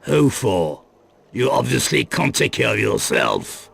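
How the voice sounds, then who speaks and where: Gravelly, menacing underworld boss. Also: male voice, mid-range voice, huge pitch swings, unhurried, a bit bright, full character voice. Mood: guarded, dismissive. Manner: guarded, dismissive, threatening. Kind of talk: video game cut-scene acting.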